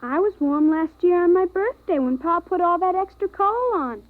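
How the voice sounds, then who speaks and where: Sulky, curious American little sister, extremely high voice, muffled 1950s radio drama. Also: female voice, expressive, normal speed. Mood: plaintive. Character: sulky yet curious young girl, the sister. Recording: muffled, tinny vintage radio recording.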